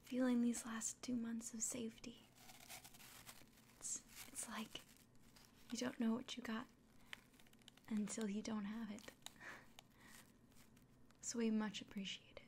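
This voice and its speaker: A woman playing a kind, anxious village innkeeper in a fantasy ASMR roleplay, speaking gently and directly to the listener in a breathy, mostly whispered voice. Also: a little high, little pitch movement, a little slow, pauses often, slight background noise. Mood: grateful.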